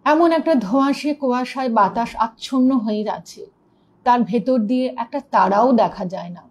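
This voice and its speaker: High-pitched woman reading a ghost story. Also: normal speed, a little mellow. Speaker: story reader. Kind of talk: dramatic narration of a ghost story.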